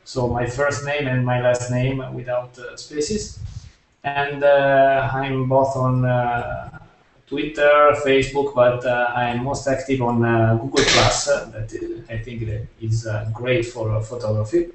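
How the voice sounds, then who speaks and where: A man's voice: medium pitch, level tone, unhurried, slight background noise. Italian guy, far from the mic, super echoey, lots of uhs. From northern Italy. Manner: many hesitations, uh-filled. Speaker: amateur photographer. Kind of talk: casual self-introduction.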